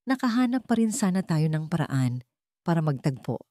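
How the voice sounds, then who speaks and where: A woman voicing a gentle, hopeful young woman. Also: low voice, sing-song, moderate pace, no echo, clean studio sound.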